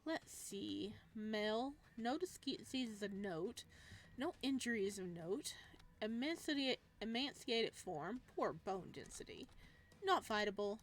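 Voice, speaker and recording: A woman's voice: animated, slow, slight background noise. Woman voicing a playful, sadistic male mad experimenter. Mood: clinical appraising. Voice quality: low, slightly raspy as written. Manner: clinical, mocking, savoring words. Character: gleeful sadistic male living-god experimenter, playful and menacing.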